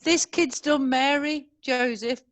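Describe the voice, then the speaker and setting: A woman's voice: high-pitched, fairly even intonation, takes it slowly. A woman reading the part of a blunt, cheerfully crude mum at a school party, in an amateur online play reading, speaking in a drawling way.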